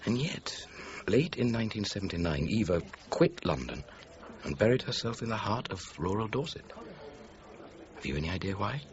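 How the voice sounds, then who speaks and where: An actor playing a courteous, methodical police chief inspector who questions politely and deliberately in a radio crime drama. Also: male voice, fairly low pitch, sing-song, dull audio. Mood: probing.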